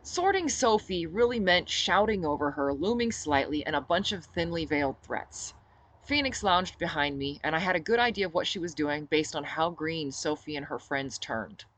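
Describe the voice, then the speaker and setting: A woman reading her own novel aloud as expressive first-person narration. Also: deep voice, moderate pace, low-quality recording. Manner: dramatic reader who shifts into character for dialogue.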